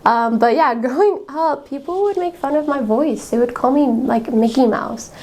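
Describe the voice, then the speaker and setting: Chatty young woman with a high-pitched voice. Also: normal speed, darkish tone. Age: young adult. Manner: candid and chatty, fillers like "like" and "um", laughs often. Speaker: podcast host. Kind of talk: casual personal storytelling.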